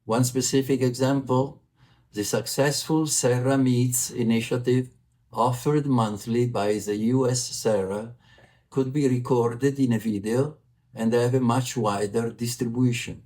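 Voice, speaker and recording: A man's voice: medium pitch, fairly even intonation, a little mellow. A man from Italy with an Italian accent, giving a formal prerecorded report as a vice president for communications. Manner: formal, measured delivery.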